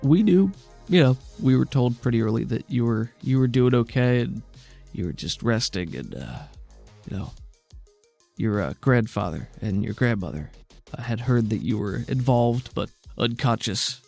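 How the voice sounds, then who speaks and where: A man playing a gentle, loving father in a tabletop game, speaking in halting, reassuring tones. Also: medium pitch, animated, vocal fry, faint music behind. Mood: hesitant, awkward. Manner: halting, soft reassurance with frequent stammers.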